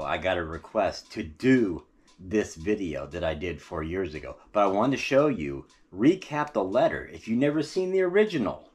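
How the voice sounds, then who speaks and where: A man's voice: fairly low pitch, darkish tone, husky, no echo. An American man telling local stories as a vlogger in a casual, folksy, chatty way, talking straight to his viewers. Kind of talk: casual storytelling vlog about local history. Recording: noisy, with ambient vehicle sounds.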